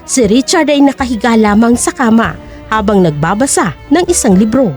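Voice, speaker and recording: A woman's voice: on the high side, animated, moderate pace, a little mellow, soft background music. A woman narrating an audio drama in a dramatic scripted storytelling style.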